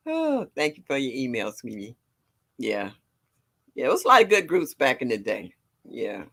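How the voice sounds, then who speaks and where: A woman in her sixties from with a deep, low voice, chatting casually on a livestream, greeting viewers and laughing often. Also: huge pitch swings, a little slow, pauses often, a bit bright, slightly rough. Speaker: livestream host. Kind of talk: casual chat reading viewer comments.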